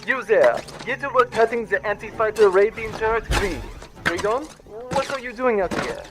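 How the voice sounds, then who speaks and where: Guy doing stiff formal spaceship officer, high voice, super literal, music underneath, sci-fi audio drama. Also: normal speed, close to an everyday voice. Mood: businesslike. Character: formal, literal-minded starship crew officer giving orders.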